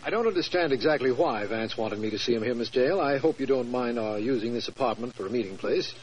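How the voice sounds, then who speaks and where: An actor playing a polite, formal official in 1940s radio drama style. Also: male voice, medium pitch, fast, close to an everyday voice. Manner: courteous, formal. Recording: distant, noisy old radio recording.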